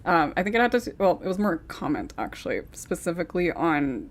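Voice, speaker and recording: A young woman hosting a casual panel chat, chatty and quick to laugh, sometimes losing her train of thought. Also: on the low side, big pitch swings. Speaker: panel host.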